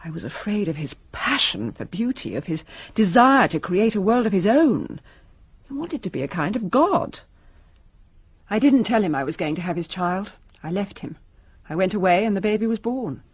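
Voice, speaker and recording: A woman's voice: mid-range voice, very animated, normal speed, breathy edge, dry recording, dull audio. An actress playing a sorrowful, confiding mother in a radio drama, speaking in a wistful, confessional way.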